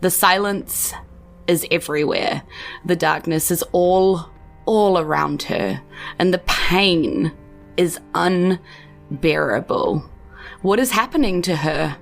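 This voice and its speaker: A woman's voice: lowish voice, slow pace, creaky, soft background music. A woman with a New Zealand accent, hosting and narrating a tabletop game podcast as game master.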